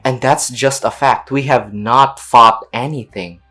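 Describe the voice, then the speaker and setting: A woman's voice: rumbling low, steady tone, a little slow, fairly bright tone, soft background music. A woman gaming streamer reacting casually as she plays, with a slangy, blunt, offhand manner. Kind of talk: casual reactions and reading along while playing.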